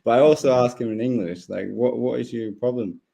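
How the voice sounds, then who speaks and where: A man's voice: mid-range voice, steady tone, fairly quick, gravelly, dark tone. A young man whose first language is German, talking casually as a guest about his riding season, full of fillers and restarts. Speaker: guest speedway rider. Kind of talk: casual live interview.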